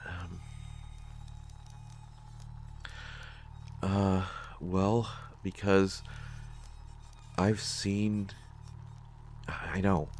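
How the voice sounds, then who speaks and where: A man playing a nervous, awkward, well-meaning character in a sci-fi audio drama, speaking haltingly with many stammers. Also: deep voice, darkish tone, heavily acted. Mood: wary, thoughtful. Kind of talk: solo scripted audio drama, conversational acting.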